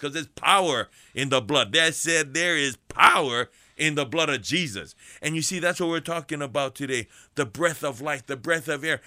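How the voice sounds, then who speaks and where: A man preaching a fervent radio sermon to people in prison, repeating phrases and swinging between high urgent calls and low hushed passages. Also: no room sound. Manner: fervent, repetitive preaching with big pitch swings.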